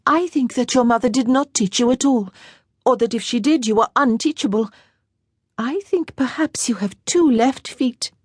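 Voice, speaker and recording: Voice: mid-range voice, normal speed, breathy edge, no echo, clean studio sound, pitched above the performer's own voice, lightly acted. A narrator voicing a playful, teasing young French girl in an audiobook, in a lilting, coquettish manner. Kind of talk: audiobook character voice.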